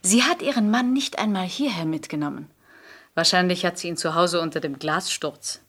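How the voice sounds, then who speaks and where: An actress playing a flirtatious, sharp-tongued society lady in a 1960s German radio play, elegant and teasing. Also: lowish voice, moderate pace. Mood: catty, amused.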